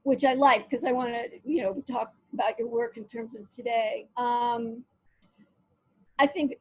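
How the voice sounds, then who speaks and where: A woman hosting a conversational interview over a video call, reading passages aloud and talking with frequent pauses and fillers. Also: on the high side, fairly even intonation, darkish tone, breathy edge, no room sound, low-quality recording. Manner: hesitant, reads quotes aloud, many fillers. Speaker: interview host.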